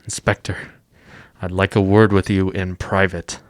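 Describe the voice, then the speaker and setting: A gentle fugitive convict in a radio drama, played by a man, protective and dignified. His voice is low and recorded very dry, with an earnest, pleading delivery. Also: level tone, unhurried, dry recording. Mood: controlled, uneasy.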